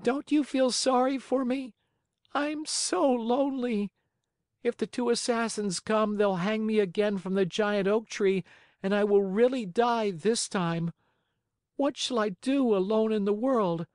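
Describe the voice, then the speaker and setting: A storyteller voicing an emotional young wooden puppet boy in a high, childlike, pleading voice. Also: a little slow, darkish tone, dry recording, studio quality, natural voice. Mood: tearful, pleading. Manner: emotional, wailing, eager.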